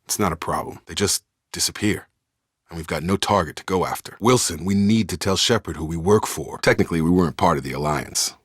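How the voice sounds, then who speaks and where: Deep-voiced soldier, in a dry recording. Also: male voice, brisk, husky, no room sound. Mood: steady, serious. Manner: clipped, matter-of-fact military delivery. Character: soldierly, steady, dutiful adult male space operative. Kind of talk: scripted video game dialogue.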